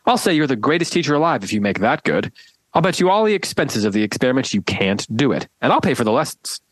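A woman playing a courteous gentleman captain. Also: extremely low pitch, sing-song, fast, dry recording, heavily acted. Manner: courteous, mild. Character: polite gentlemanly British military captain.

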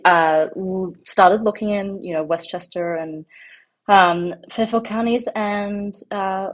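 A woman's voice: fairly low pitch, rough recording. An Australian woman in her thirties, talking casually as an interview guest, rambling with many ums and restarts. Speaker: guest, home farmer.

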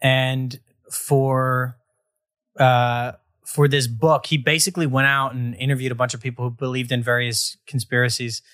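Young male host, bright tense voice, jokey and rambling, dry close sound. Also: mid-range voice, little pitch movement, normal speed, no room sound. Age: 20s. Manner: joking, rambling, lots of fillers. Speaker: podcast host. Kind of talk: casual conversational interview.

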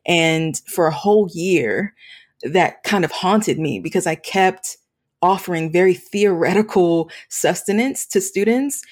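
A woman speaking as an interview guest, reflective and earnest, measured with pauses. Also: on the low side, lively intonation, a little mellow. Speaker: guest, campus ministry leader.

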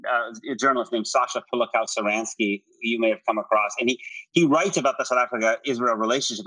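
Male interview guest, mid-pitched, articulate and emphatic explainer of politics and history, very dry sound. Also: sharp tone, no echo, rough recording. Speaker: interview guest, writer and commentator.

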